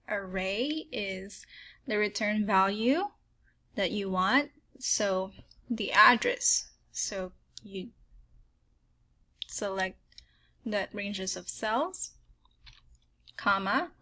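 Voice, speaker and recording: Bright-voiced Filipino woman teaching spreadsheets. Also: medium pitch, slight background noise. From Philippines. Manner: step-by-step, frequent "okay" and "um", talks to the viewer. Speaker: spreadsheet tutorial host. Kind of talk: screen-recorded software tutorial.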